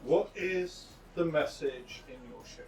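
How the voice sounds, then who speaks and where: A man's voice: fairly high pitch, takes it slowly, vocal fry. A man asking interview questions as the interviewer, outdoors. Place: outdoors on a sea front. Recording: roomy echo, distant mic, faint music.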